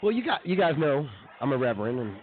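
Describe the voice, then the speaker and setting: A man's voice: fairly high pitch, big pitch swings, fast. A man co-hosting a comedy radio show in casual conversation, on a tinny phone-like stream.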